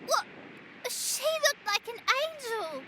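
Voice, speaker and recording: A woman's voice: very high-pitched, big pitch swings, slow pace, very noisy, full character voice. A woman playing a naive, dreamy young girl in an audio drama, wide-eyed and plaintive.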